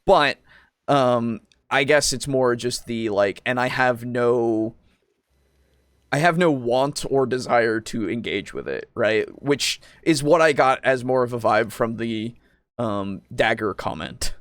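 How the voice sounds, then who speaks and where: A man hosting a casual podcast chat about tabletop roleplaying, explaining things in a rambling, halting way with some swearing. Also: medium pitch, no echo, clean studio sound. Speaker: podcast host.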